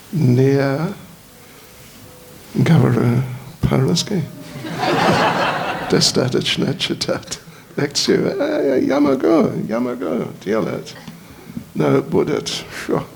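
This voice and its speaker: A man with an English accent, a native English speaker, gives a lecture in slow, halting Russian, often searching for words. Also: medium pitch, very animated, gravelly, noisy background. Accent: English-speaking, speaking Russian as a learner. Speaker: visiting spiritual teacher giving a lecture. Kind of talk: slow lecture with verse chanting. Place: lecture hall.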